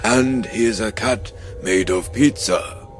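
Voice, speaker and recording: A man playing a solemn, absurdly earnest wizard guide in a comic radio play, with portentous, dramatic pauses. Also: on the low side, faint music behind.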